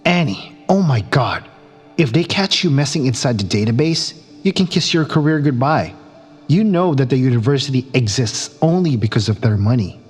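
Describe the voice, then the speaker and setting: A man's voice: medium pitch, lively intonation, moderate pace, husky, soft background music. A man playing a wry, pragmatic space crewman in an audio drama, dry and a little exasperated. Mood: exasperated, cautious.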